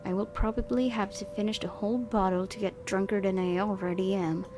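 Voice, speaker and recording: A woman's voice: medium pitch, steady tone, a little fast, darkish tone. Woman reading a visual novel aloud, expressively. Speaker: gaming video host. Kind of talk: dramatic read-aloud of visual novel narration. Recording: music behind the voice.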